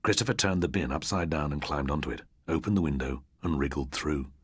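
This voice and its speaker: A man's voice: extremely low pitch, brisk, husky, no room sound, studio quality. A British man narrating a ghost story for an audiobook in a dramatic, scripted style.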